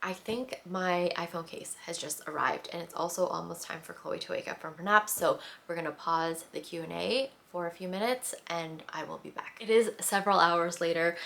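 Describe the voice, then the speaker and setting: Vlogger, young woman from the Philippines, Tagalog first language, chatty with fillers, low gravelly voice. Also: fairly bright tone, a little hiss or hum. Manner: chatty, filler-heavy, with frequent restarts. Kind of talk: casual chat.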